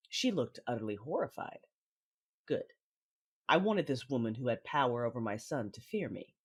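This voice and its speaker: Woman acting a husky, ruthless Sith mother. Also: rumbling low, full character voice. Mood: cool, commanding. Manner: measured, menacing, controlled. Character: cold, ruthless Sith mother, commanding and calculating narrator. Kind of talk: first-person audio drama narration with dialogue. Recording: music under the voice.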